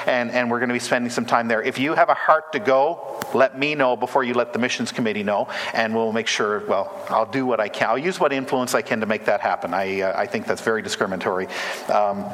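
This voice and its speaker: A man in his forties from Canada, addressing a church congregation in a relaxed, joking way with lots of fillers and restarts. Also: medium pitch, quick pace, big-room sound, a little hiss or hum. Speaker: church ministry representative. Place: church sanctuary.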